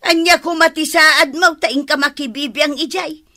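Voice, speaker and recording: A woman's voice: high voice, fairly even intonation, moderate pace, pushed and bright. A woman playing an outspoken village housewife in a radio comedy drama, with an Ilocano accent. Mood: scolding.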